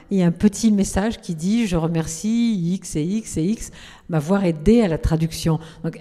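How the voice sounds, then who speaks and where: A woman's voice: on the low side, warm tone. A woman moderating a festival panel, asking probing questions in a conversational way. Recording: long hall reverb.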